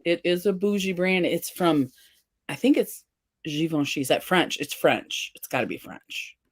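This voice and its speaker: A woman's voice: lowish voice, animated, moderate pace, husky, no room sound. A woman hosting a casual livestream, chatting and reading viewer comments aloud. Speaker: livestream face paint host.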